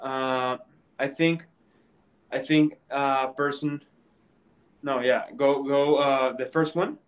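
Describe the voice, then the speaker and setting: A man's voice: medium pitch, steady tone. Man co-hosting on a video call. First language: Spanish. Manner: halting, repeats words. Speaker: webinar co-host. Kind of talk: casual live-stream chat.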